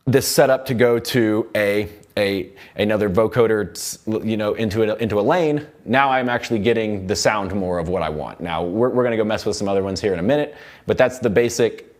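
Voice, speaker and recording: An American man with a low-pitched voice teaches audio production in a recording studio. He explains each step casually and informally, often thinking aloud as he works through the software. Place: recording studio control room.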